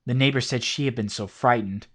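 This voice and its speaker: A man narrating a horror story in a steady, scripted, documentary-like way. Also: mid-range voice, brisk. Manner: steady documentary-style telling.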